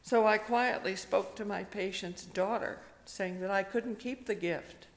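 Older woman, retired pastor, gravelly voice, unhurried sermon, church hall reverb. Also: mid-range voice. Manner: slow, gentle storytelling with pauses. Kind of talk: sermon with a personal story. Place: church sanctuary, indoors. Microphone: stand microphone at a pulpit.

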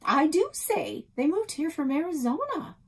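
A woman's voice: high voice, sing-song, unhurried, voice pushed up, full character voice. An American woman voicing a nosy, outspoken young girl in a storybook, eager and blunt. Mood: defiant. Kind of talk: children's storybook read-aloud.

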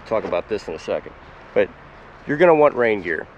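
Relaxed, low-voiced man outdoors in woods. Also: brisk, highs cut off, slight background noise. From Pennsylvania, US. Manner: relaxed, chatty, explains plainly with fillers. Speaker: foraging channel host. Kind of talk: casual gear walkthrough to camera.

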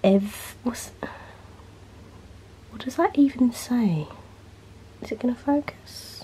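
A woman's voice: a little high, takes it slowly, dark tone, a little hiss or hum, poor audio. Nasal young woman vlogging from her bedroom. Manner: rambling, filler-heavy, chatty. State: nasal, recovering from illness. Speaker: beauty vlogger. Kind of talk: casual chat. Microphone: handheld camera, freehand.

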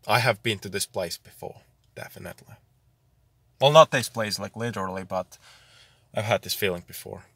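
A man's voice: on the low side, normal speed, long pauses, a bit bright, husky, no echo, clean studio sound. Rambling young man vlogging at night. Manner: rambling, self-deprecating, swears casually. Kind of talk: casual vlog chat. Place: outdoors at night on a wet street and in a car.

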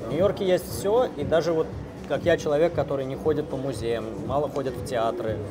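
A man in his thirties from Russia with a Moscow accent, chatting in a relaxed, rambling way with filler sounds as an interview guest in a café. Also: a little high, fairly quick. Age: 30s. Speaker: interview guest, software engineer. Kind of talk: casual interview chat. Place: busy café, indoors. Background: loud music.

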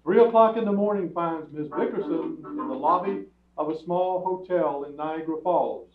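A man's voice: a little high, moderate pace, a crowd in the background, lightly acted. A man acting as announcer, reading scripted narration for an old-time radio comedy on stage. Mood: presentational. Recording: tinny, echoey theatre stage. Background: music.

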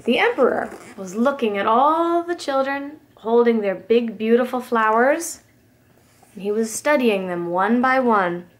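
A young woman reading a children's picture book aloud in an expressive, careful storytelling manner, as a preschool teacher does. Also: on the high side. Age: young adult.

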